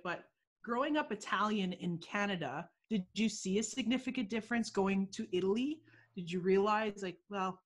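A woman's voice: medium pitch, fairly bright tone. Upbeat woman host on a studio mic. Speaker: show host. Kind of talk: casual interview hosting. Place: home office, indoors. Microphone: large studio mic, headset earpiece.

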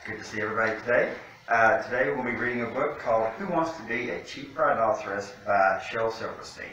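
Middle-aged male storytime reader, bright forceful tone, recorded well away from the mic. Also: lowish voice, brisk, highs cut off. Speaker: guest storytime reader, city official. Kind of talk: reading a picture book aloud to children. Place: indoor room, seated by a fireplace mantel. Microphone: distant camera microphone. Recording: very echoey room, distant mic, faint noise.

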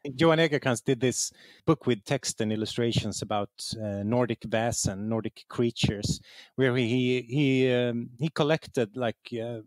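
A man from southern Sweden, a native Swedish speaker with a southern Swedish accent, talking casually and haltingly as an interview guest. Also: medium pitch, dry recording. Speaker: interview guest, game writer.

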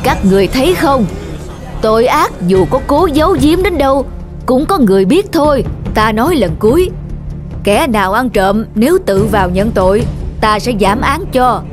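Cartoon folk tale character, played by a woman, high-pitched, over clear music. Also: lively intonation, moderate pace, natural voice. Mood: stern. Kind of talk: animated folk tale dubbing.

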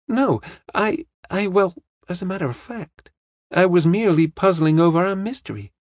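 A man's voice: high-pitched, expressive, normal speed, dry recording, studio quality, voice pushed up. A man voicing an earnest, puzzled young woman in an audiobook, with an eager, questioning manner. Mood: troubled, apologetic.

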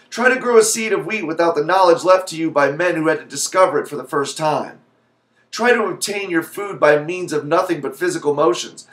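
A man in his thirties speaking as a video blogger into a webcam microphone in a small home office. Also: on the high side. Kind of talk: casual vlog talk with passage read aloud. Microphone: webcam microphone at desk distance. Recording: roomy, echoey webcam sound.

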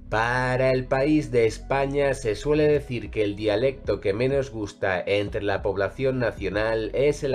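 A man narrating a ranking video in a scripted voice-over, reading each entry in a measured, even way. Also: mid-range voice. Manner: measured, evenly read list delivery.

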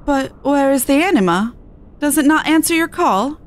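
A woman's voice: sits high, lively intonation, moderate pace, voice pushed up. A woman voicing an earnest seventeen-year-old fantasy artisan with formal, archaic phrasing, reading game dialogue aloud. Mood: solemn wonder.

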